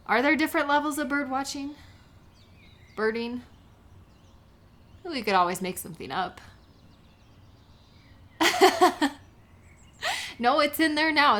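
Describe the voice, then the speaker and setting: A woman playing a supportive, affectionate best friend in a comfort audio roleplay, speaking gently and sincerely in an intimate, conversational way. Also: high-pitched. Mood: amused, playful. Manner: gentle, sincere, encouraging, unhurried.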